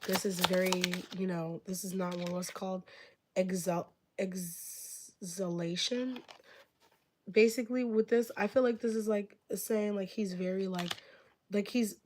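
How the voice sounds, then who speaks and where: A woman's voice: medium pitch, fairly even intonation, a little slow, darkish tone, slight background noise. A woman reading tarot on a live stream, rambling with many filler words and losing her voice from being sick. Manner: gushing thanks to viewers. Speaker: tarot reader.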